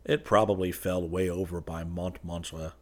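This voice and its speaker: A man's voice: low-pitched, steady tone, measured pace, darkish tone. A man voicing a cheerful young sweetheart who offers light, unconcerned reassurance in an audiobook. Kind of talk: audiobook character dialogue.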